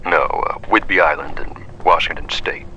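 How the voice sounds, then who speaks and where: Gruff male supernatural investigator, acted by a man, very deep, piercingly forceful tone, brooding, terse, analog horror drama. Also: moderate pace, slight background noise, rough recording. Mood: brooding, wary. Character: hard-bitten, world-weary male investigator of the supernatural.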